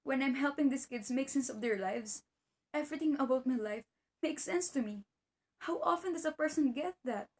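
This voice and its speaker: High-pitched earnest young-sounding wife played by a woman. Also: moderate pace, natural voice. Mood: earnest, insistent. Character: devoted, earnest female teacher, hurt wife. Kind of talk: amateur one-act play acting.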